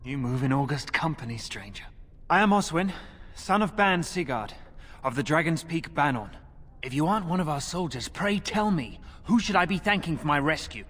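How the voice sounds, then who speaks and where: Young fantasy nobleman, lightly high voice, courtly wording, proud, game cutscene, long echoing hall. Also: lively intonation, moderate pace, fairly bright tone. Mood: grateful, formal. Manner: formal, lofty, courtly phrasing. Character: freed young noble son, proud and formal. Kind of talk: video game cutscene acting.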